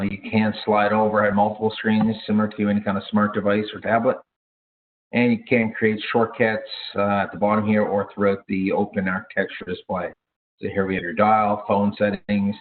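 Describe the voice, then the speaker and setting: Deep-voiced man on a phone-like line, presenting. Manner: halting, self-correcting delivery with frequent hesitations. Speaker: product trainer. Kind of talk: informal product training presentation. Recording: thin, phone-like narrow-band audio.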